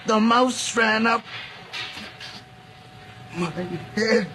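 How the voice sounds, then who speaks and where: A man playing a dying, possessed man in a radio horror drama, speaking in strained, broken, halting fragments. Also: high voice, exaggerated voice. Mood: tormented, delirious. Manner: halting, disjointed bursts. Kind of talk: 1980s radio horror acting.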